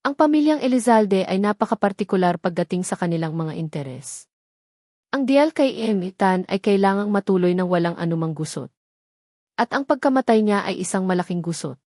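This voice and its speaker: A woman's voice: mid-range voice, lively intonation, normal speed, dark tone, no room sound, clean studio sound, lightly acted. A woman voicing a cold, authoritative, ruthless male fixer in a narrated drama story. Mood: cold, commanding. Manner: commanding, ruthless.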